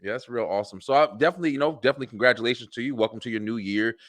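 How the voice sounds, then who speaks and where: A man's voice: medium pitch, very fast, no room sound. A man hosting a podcast, talking in a loose, slangy, rambling way in casual conversation. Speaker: podcast host. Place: works from home.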